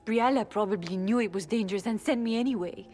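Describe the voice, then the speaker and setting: A woman's voice: mid-range voice, fast talker, a little mellow, close to an everyday voice. Woman playing a wary, bitter servant spy. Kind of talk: dramatic fantasy game dialogue. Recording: background music under the voice.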